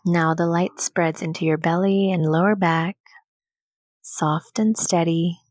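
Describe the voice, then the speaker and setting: Female meditation guide, soothing and gentle, lowish pitch, very unhurried delivery with generous pauses. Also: fairly even intonation, no echo, clean studio sound.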